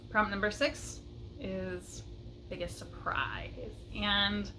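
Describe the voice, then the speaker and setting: A woman's voice: mid-range voice, steady tone, slow pace, pushed and bright, a little hiss or hum, poor audio. A woman chatting casually and enthusiastically as a book vlogger, with a light chuckle in her talk.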